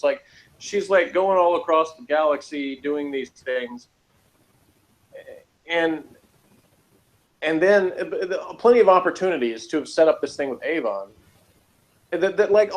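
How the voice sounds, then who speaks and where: A man's voice: fairly high pitch, rough recording. Man picking apart a TV episode. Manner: halting, opinionated, critical rambling. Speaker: review show co-host. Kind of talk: casual TV episode review chat.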